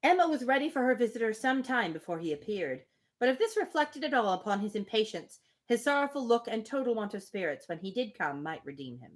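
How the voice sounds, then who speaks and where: A woman's voice: fairly high pitch, very animated, a little fast. A woman reading a novel aloud on a livestream, breaking off for casual, opinionated asides. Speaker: reader on a livestream. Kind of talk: live book reading with casual commentary.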